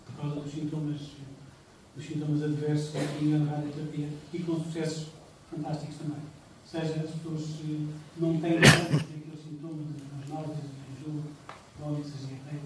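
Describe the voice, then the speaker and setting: A man's voice: fairly high pitch, completely flat delivery, moderate pace. A man giving a public talk, hesitant and repeating words before stating points firmly. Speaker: lecturer. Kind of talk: public talk with audience questions. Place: lecture room. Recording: echoey room from far off, noisy.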